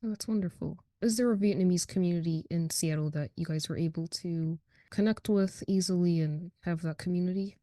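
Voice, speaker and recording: A woman's voice: lowish voice, brisk, hardly any pauses, mellow, no echo, clean studio sound. An American woman hosting a casual interview, speaking informally and affirming what her guest says. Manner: affirming, informal, reacts and encourages. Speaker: podcast host, interviewer.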